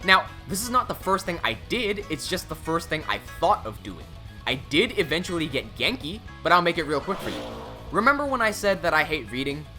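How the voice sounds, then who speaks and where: Young guy chatting to camera, bright tone, pitch bouncing all over, candid and a bit self-mocking. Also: big pitch swings, a little fast, faint music behind. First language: English and Cantonese. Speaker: language-learning YouTuber. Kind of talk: casual vlog narration.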